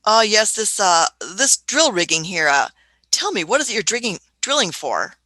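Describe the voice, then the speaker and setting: Woman playing a bright, fussy, eccentric older Doctor. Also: mid-range voice, sing-song, moderate pace, dry recording. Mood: brisk, businesslike. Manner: fussy, hesitant, repeats phrases, hums questioningly. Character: eccentric, brisk, imperious older female time-travelling Doctor. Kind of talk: theatrical radio play acting.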